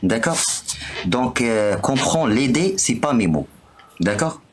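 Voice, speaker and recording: A man whose first language is Arabic, calling into a live debate in halting speech and checking "d'accord?" over and over. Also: medium pitch, very animated, a little hiss or hum. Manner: halting, keeps asking "d'accord?". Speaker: caller.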